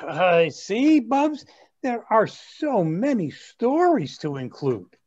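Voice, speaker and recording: Dull audio, man acting eager, self-centered young grandson, lively swinging intonation, comedy read. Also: sits high, slow pace, exaggerated voice. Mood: earnest. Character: earnest, self-absorbed twenty-three-year-old grandson. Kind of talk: reader's theatre comedy.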